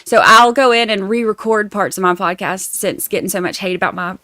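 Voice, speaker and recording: A woman's voice: medium pitch, a little fast, pushed and bright, dry recording. Chatty Southern woman livestreaming. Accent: Southern US, Georgia. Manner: rambling, chatty, self-deprecating, addresses viewers as y'all. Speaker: livestream host covering trials. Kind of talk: casual livestream chat.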